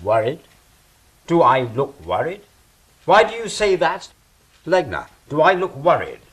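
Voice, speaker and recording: Anxious, defensive male angel for stage-style audio drama, acted by a man; slow delivery, very lively intonation. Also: fairly high pitch, husky, full character voice. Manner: fretful, defensive, deliberate.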